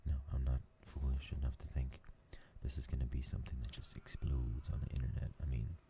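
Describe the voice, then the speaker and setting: Very deep, gravelly whispering man. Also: little pitch movement, fairly quick, slight background noise. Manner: rambling, soft, many fillers. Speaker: ASMR gaming channel host. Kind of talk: whispered ASMR chat while gaming.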